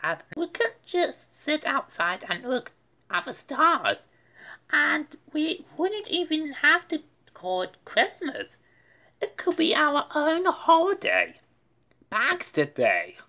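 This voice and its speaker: Woman as an earnest, high-voiced little boy rabbit. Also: wildly swooping pitch, takes it slowly, pushed and bright, dull audio, heavily acted. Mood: hopeful.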